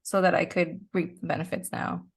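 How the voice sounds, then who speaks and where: Female podcast guest, lowish gravelly voice with vocal fry, explanatory and conversational, a natural storyteller. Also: flat delivery, brisk.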